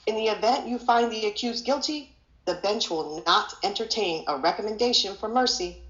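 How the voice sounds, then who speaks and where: A woman reading the part of a grave male judge, formal and measured, in a scripted play. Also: mid-range voice, lively intonation, a bit bright, close to an everyday voice. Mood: grave, solemn. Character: grave, solemn male judge instructing a jury. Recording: echoey room, dull lo-fi audio.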